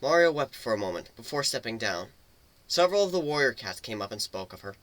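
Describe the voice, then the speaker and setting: A man's voice: mid-range voice, sing-song, quick pace, fairly bright tone, dry recording. A man narrating a scripted story as a dramatic reading.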